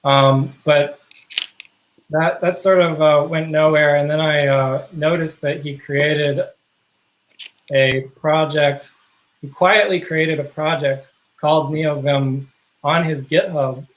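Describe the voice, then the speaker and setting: A man being interviewed on a video call, talking casually and haltingly with many fillers and restarts. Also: a little high, fairly even intonation, phone-quality sound, a little hiss or hum. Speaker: guest, software project contributor.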